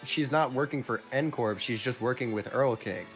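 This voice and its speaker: Man, mid-range voice, casual game read-along, chatting with friends, faint game music behind. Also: moderate pace. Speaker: amateur voice reader in a game playthrough. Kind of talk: reading game dialogue aloud with joking chat among friends. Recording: low-quality recording with music behind.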